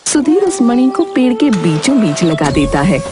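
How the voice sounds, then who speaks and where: A woman giving scripted narration for an animated children's fairy tale. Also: fairly high pitch, moderate pace, over music.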